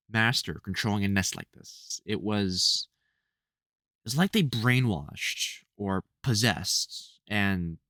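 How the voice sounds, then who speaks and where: A man playing a soft-hearted, protective vampire hunter mentor in an intimate audio roleplay, speaking fondly and hesitantly with wry exasperation. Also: on the low side, no echo, clean studio sound, exaggerated voice. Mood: troubled, pondering. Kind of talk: intimate audio roleplay acting addressed to the listener.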